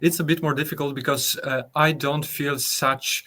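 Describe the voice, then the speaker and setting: Polish-accented man, thoughtful and halting. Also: fairly high pitch, little pitch movement, sharp tone. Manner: thoughtful, hesitant, many fillers and restarts. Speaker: guest artist. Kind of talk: conversational interview.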